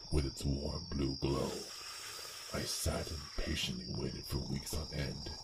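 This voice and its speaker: An extremely low-voiced, vengeful male assassin, as a man acts him out in a fantasy ASMR roleplay. He speaks slowly and intensely, with brooding pauses and phrases that sink toward a whisper. Loud cricket and insect ambience runs under the voice. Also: very noisy, full character voice. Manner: brooding, intense, halting delivery with breathy near-whispered phrases. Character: vengeful male dragon assassin, fiery and hate-driven. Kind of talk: dramatic first-person roleplay monologue, ASMR.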